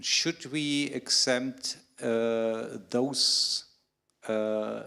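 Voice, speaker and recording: A man answering as a panelist, halting with frequent restarts and fillers. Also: mid-range voice, gravelly, fairly bright tone.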